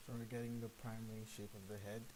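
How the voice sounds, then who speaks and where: A man's voice: lowish voice, little pitch movement, fast, a little mellow, rough recording. A man chatting casually and self-consciously on a live stream as he works, nervous and hesitant, over a hissy microphone. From Nigeria. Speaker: streamer and 3D sculpting artist. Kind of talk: casual live-stream chat while sculpting.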